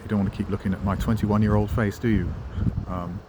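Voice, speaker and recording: A man's voice: lowish voice, flat delivery, fast talker, noisy background. A middle-aged man, giving a rambling walking-tour commentary with wry, self-mocking asides, outdoors in a town street. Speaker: travel vlogger.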